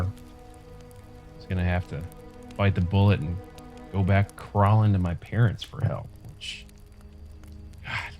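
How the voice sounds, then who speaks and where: A man's voice: low voice, darkish tone. A man playing a young, regretful, down-on-his-luck survivor in a drama table read. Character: young, broke, regretful former pizza delivery boy. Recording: video-call read over music.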